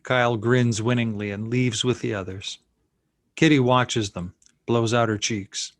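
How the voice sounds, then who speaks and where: A man's voice: mid-range voice, fairly even intonation, measured pace, dry recording, clean studio sound. A man reading scene headings and action lines as narrator of a screenplay table read. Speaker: narrator reading stage directions.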